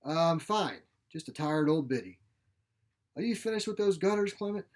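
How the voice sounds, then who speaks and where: A man voicing a feisty, sharp-tongued elderly mother in a short story, blunt, folksy and grumbling. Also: a little high, expressive, moderate pace, pitched above the performer's own voice, close to an everyday voice. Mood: crotchety. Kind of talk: short story character reading.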